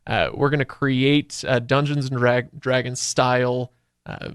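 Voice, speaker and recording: A man hosting a casual podcast discussion, talking conversationally as he thinks out loud. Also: mid-range voice, level tone, a little slow, clean tone, dry recording, clean studio sound. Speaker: podcast host.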